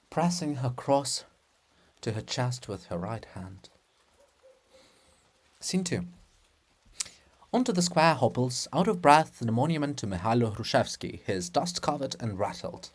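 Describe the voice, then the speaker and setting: Slow, expressive man reading stage directions. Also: medium pitch. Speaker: narrator and reader.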